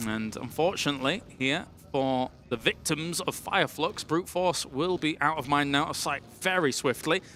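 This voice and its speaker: Bright-voiced excitable male caster. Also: on the high side. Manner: excitable, quick reactions, playful banter. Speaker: esports caster. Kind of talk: live play-by-play commentary.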